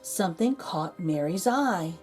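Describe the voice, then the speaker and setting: A woman reading a children's picture book aloud as a storyteller, expressive, with dramatic emphasis and pauses. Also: lowish voice, faint music behind. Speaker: read-aloud host and storyteller.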